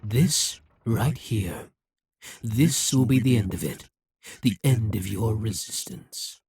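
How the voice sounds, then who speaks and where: An actor voicing a scheming, domineering demon monarch in a dramatic ASMR roleplay, speaking in a menacing, deliberate, taunting way. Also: medium pitch, animated, darkish tone, breathy edge, dry recording, exaggerated voice. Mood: smug, sinister. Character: domineering demon monarch, scheming, smug, coldly seductive captor.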